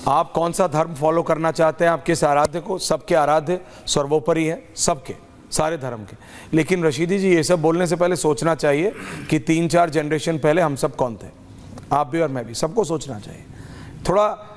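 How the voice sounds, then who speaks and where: A man's voice: fairly high pitch, moderate pace. A man hosting a heated TV news debate, confrontational and insistent, repeating phrases for emphasis and slipping into English, with a strained sore throat. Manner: mixes English phrases into Hindi. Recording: echoing hall-like reverb.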